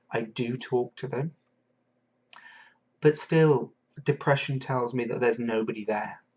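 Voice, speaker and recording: A man's voice: mid-range voice, a little mellow, low-quality recording. Earnest man reflecting on his podcast. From England. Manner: earnest, thoughtful, pausing with fillers. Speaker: podcast host. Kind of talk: reflective solo podcast monologue.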